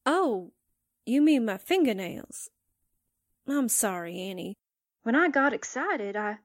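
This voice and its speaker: A woman playing an eager, excitable, slangy newspaperwoman sidekick in a 1940s-style radio drama. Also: fairly high pitch, takes it slowly, no echo, clean studio sound, exaggerated voice. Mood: sheepish. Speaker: actor in an amateur radio drama re-creation.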